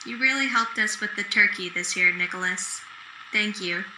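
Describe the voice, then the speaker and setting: A woman's voice: mid-range voice, normal speed, sharp tone. A woman playing a friendly, hospitable mother in an old-time radio drama, with a homely manner. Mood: grateful. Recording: roomy echo, noisy background.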